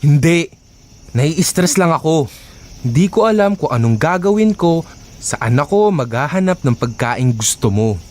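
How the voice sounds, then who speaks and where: A man's voice: on the high side, a little slow. A man voice-acting an exasperated, whiny young boyfriend in a Filipino audio drama. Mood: fed up. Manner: whiny, complaining, animated. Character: exasperated young boyfriend Gael, expectant father, dodgy charmer.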